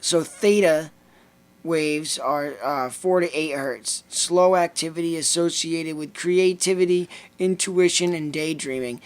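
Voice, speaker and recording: A man with a Lithuanian accent, co-hosting a casual podcast chat and reading passages from a book aloud. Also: a little high. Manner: reads passages aloud in a steady list-like way. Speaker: podcast co-host.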